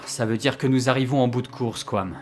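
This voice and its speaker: A man's voice: mid-range voice, a little fast, darkish tone, a little hiss or hum, close to an everyday voice. A man playing a gloomy, wry time traveller in an audio drama, easily exasperated and given to hesitant explanations. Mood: grave, resigned. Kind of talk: French audio drama acting.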